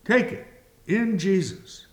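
A man preaching a communion sermon slowly and deliberately, pausing between phrases. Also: high-pitched, sing-song. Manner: slow and deliberate, with long pauses.

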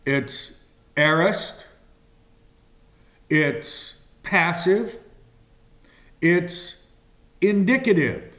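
A man preaching a communion sermon slowly and deliberately, pausing between phrases. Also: high voice, expressive, a bit bright. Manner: slow and deliberate, with long pauses.

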